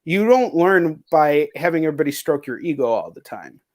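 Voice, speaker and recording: Higher-voiced man, blunt casual talk. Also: very animated, a little fast. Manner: blunt, frequently profane. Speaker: host, comic book writer and publisher. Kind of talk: casual interview chat.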